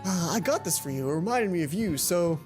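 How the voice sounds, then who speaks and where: Woman voicing a gentle, playful teenage boy. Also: lowish voice, animated, a little fast, soft background music, close to an everyday voice. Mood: shy.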